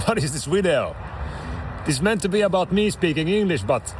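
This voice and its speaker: A man's voice: on the high side, big pitch swings, moderate pace, faint music behind. A man with a Finnish accent vlogging casually outdoors in English, playful and self-mocking, laughing often. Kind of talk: casual vlog chat. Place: outdoors by the water.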